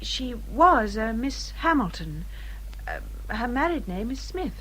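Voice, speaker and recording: Polite, expressive young English gentlewoman. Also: fairly high pitch, slow, heavily acted, poor audio. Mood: restrained defiance. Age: young adult. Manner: polite, earnest, restrained. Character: polite, self-possessed young gentlewoman, quietly firm. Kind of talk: period radio drama acting.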